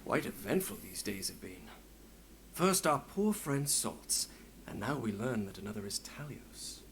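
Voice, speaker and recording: An actor playing a genial, open-minded old friend in a video game, wry and conversational with ornate wording. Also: male voice, lowish voice, expressive, unhurried, background noise.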